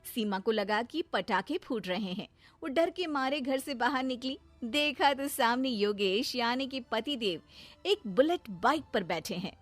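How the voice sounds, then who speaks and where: Slow, higher-pitched woman storyteller. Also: expressive, no echo. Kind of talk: scripted narration of a cartoon story. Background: faint music.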